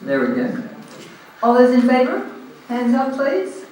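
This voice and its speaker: A man with an Australian accent chairing a community group's meeting, speaking loudly and casually with many ums into a handheld microphone. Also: very high-pitched, wildly swooping pitch, unhurried, a little hiss or hum. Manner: loud, informal, hesitant with frequent ums. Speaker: meeting chair standing in for the president. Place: large echoey hall. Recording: echoey hall, recorded from a distance.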